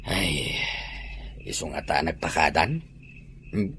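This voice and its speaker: A man's voice: rumbling low, takes it slowly, background noise. A man playing a troubled, plainspoken village husband in an Ilocano comedy drama.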